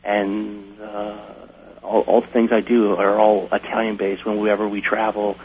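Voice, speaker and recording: A man's voice: lowish voice, little pitch movement, a little slow, breathy edge. An American man talking casually as an interview guest, telling stories in a hesitant way with repeated phrases. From Wisconsin, United States. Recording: dull lo-fi radio sound, light hum.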